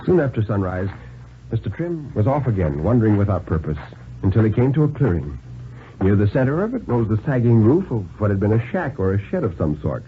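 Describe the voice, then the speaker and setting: A thin, band-limited 1950s radio recording carries a man reading a thriller story. His delivery is deliberate and dramatic, with a lively, wide-ranging pitch that shapes each phrase. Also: phone-quality sound, a little hiss or hum.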